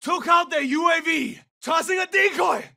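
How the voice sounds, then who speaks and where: A man's voice: extremely high pitch, normal speed, gravelly, sharp tone, shouting, far from the mic, exaggerated voice. A man playing a hardened special forces operator and fierce hunter in a video game, giving clipped military callouts. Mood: urgent, shouting.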